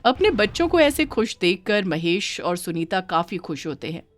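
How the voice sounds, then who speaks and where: A woman narrating a scripted children's moral story with dramatic, expressive delivery. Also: medium pitch, normal speed, faint music behind.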